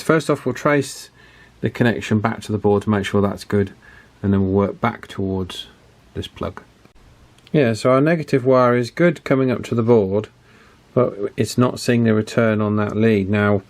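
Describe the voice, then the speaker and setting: A man's voice: on the low side, a little mellow. A man casually narrating a walkie-talkie repair, thinking aloud with frequent fillers and restarts. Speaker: electronics repair YouTuber.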